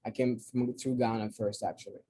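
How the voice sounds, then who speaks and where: A man's voice: mid-range voice, level tone, a little fast, mellow, a little hiss or hum. A man in his thirties, from DR Congo, explaining his coffee business in a casual interview, thoughtful and prone to restarts. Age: 30s. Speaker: coffee importer guest. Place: New York City.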